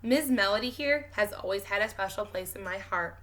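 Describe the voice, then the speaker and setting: Storybook neighbour woman, read by a woman. Bright voice, kindly teasing, firm coaching manner. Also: mid-range voice, fairly quick, natural voice. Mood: fond, teasing.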